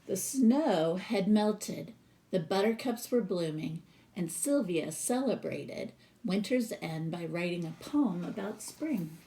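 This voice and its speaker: A woman's voice: on the low side, lively intonation, warm tone, slight background noise, low-quality recording. A woman who works as a teacher reads a children's story aloud expressively, with casual asides to explain the pictures. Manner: pauses to explain the pictures to listeners.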